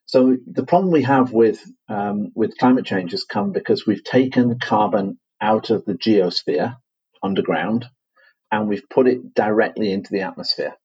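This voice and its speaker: A man with a Manchester accent, a guest on a podcast interview, talking in a measured way with frequent fillers and restarts. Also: on the low side, darkish tone, husky, highs cut off. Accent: Manchester English. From England. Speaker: guest, company CEO.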